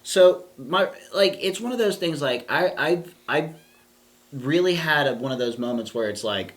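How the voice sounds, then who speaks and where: A man's voice: on the high side, animated, normal speed, fairly bright tone. A man in his thirties co-hosting a casual podcast chat, rambling and restarting his sentences with a lot of energy. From southwestern Pennsylvania. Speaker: podcast co-host.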